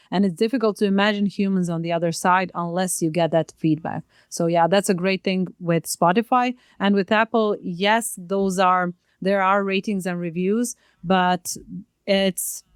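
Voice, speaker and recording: Smooth-voiced woman, native Croatian speaker. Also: fairly low pitch, dry recording, studio quality. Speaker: podcast co-host. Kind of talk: casual podcast chat. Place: child's bedroom used as home studio. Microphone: podcast mic at home.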